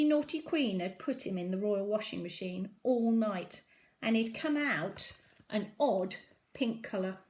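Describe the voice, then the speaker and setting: A woman's voice: on the high side, a little mellow. A woman reading a children's story aloud, expressively, with dramatic emphasis on key phrases. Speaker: storybook reader at a primary school.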